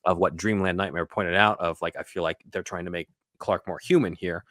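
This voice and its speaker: A man's voice: low-pitched, fairly even intonation, a little fast, a bit bright, dry recording, clean studio sound. A man hosting a podcast in a casual, conversational style. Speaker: podcast host.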